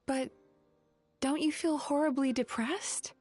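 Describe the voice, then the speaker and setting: High-voiced, polite young dream spirit. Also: female voice, steady tone, slow pace, darkish tone, soft background music. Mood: astonished. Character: polite, earnest female dream-eating tapir spirit, young therapist. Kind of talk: video game voice acting.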